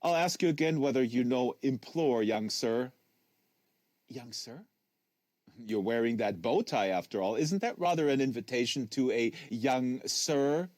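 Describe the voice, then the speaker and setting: A man voicing an oddly formal, insistent male interviewer in an audiobook, probing, unctuous and deliberate. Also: medium pitch, animated, a little mellow, dry recording, lightly acted.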